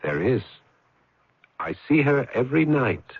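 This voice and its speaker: A man's voice: medium pitch, big pitch swings, a little slow, breathy edge, exaggerated voice. A man playing a brooding, introspective romantic dreamer in a radio drama, speaking in ornate, wistful phrases. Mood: dreamy, romantic. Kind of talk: radio drama acting with first-person narration. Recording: dull noisy old radio broadcast recording.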